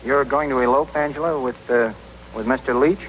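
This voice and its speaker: An actor playing a mild, obliging husband in an old radio comedy, with a hesitant, dry manner. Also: male voice, medium pitch, normal speed, slight background noise, close to an everyday voice. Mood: hesitant, agreeable. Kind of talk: 1930s-40s radio comedy acting. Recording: muffled old radio recording.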